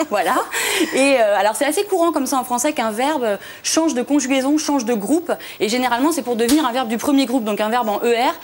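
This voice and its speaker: A woman's voice: high voice, slight background noise. A young woman with a French accent explaining the meaning and history of words in a TV studio segment, with many "euh" and "alors". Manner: fast, explanatory, frequent "euh" and "alors", light laughs. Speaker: language columnist.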